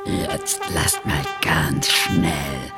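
A woman's voice: extremely low pitch, extremely slow, over loud music, full character voice. An actress playing a mocking, crude she-devil with a monstrous voice in a radio drama. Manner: mocking, insolent.

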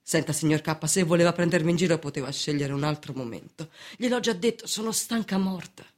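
Brusque, changeable young lodger. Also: female voice, deep voice, a little fast, darkish tone. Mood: weary, impatient. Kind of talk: theatrical radio drama acting.

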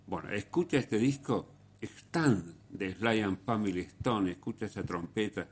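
A radio host spins music anecdotes at a slow, unhurried pace. His voice sits fairly low, and his telling is full of pauses and restarts. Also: expressive, slightly rough. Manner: unhurried storyteller, pauses and restarts, swings in loudness.

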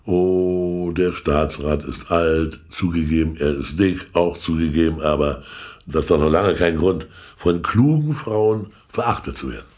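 An actor playing a shrewd, all-knowing informant in a radio play, lecturing in a pedantic, sardonic way. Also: male voice, low-pitched, measured pace, a little mellow. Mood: smug, musing. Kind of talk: German radio play acting.

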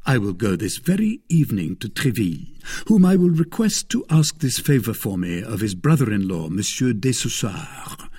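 Man playing an ardent young gallant, husky and animated. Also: lowish voice, moderate pace. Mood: confident. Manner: impulsive, fervent, confident. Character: ardent, gallant young French gentleman soldier.

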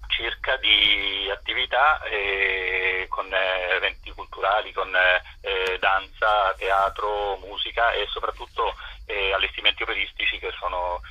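Male phone guest, low-pitched and rough-edged, picking words haltingly in an informal interview. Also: fairly even intonation, bright, forceful tone. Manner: halting, deliberate phrasing. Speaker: interview guest, festival artistic director. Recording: telephone line on radio.

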